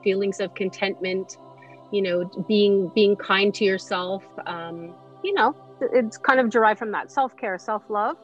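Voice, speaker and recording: A woman's voice: medium pitch, unhurried, faint music behind. A woman speaking casually and conversationally as an interview guest. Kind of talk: casual chat. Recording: slightly dull, lo-fi sound.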